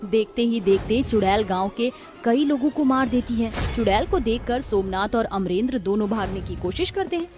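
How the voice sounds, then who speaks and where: Female story narrator: high pitch, scripted storybook read, music bed. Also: animated, moderate pace, few pauses.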